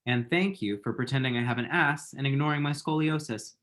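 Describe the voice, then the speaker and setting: A man acts out a self-deprecating, smitten young man in a romantic comedy script. He speaks at an ordinary male pitch, awkward and halting, in a naturalistic table-read style. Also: fairly bright tone, close to an everyday voice. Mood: playful banter.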